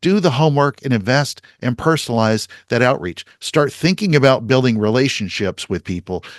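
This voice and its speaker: A man's voice: medium pitch, no echo, studio quality. Upbeat man hosting a podcast. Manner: upbeat, emphatic, list-like summarizing. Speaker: podcast host. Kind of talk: podcast interview and recap.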